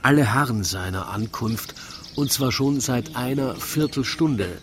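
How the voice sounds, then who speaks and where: A man's voice: medium pitch, a little slow, fairly bright tone, slight background noise. A man narrating a comic rhymed story in a wry, theatrical storytelling manner. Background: music.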